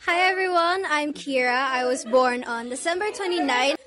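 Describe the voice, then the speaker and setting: High-voiced young woman, crowd behind. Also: normal speed. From Philippines. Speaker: group member. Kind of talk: interview answers.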